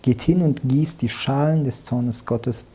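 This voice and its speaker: A man's voice: medium pitch, a little slow, mellow. A man discussing the Bible casually, in a colloquial and emphatic way, often adding small tags for confirmation. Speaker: religious discussion partner.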